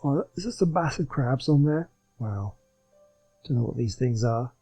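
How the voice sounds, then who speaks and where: A man's voice: medium pitch, animated, moderate pace, dark tone, slightly rough, soft background music. A man giving casual commentary as he plays through a video game. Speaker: gaming host.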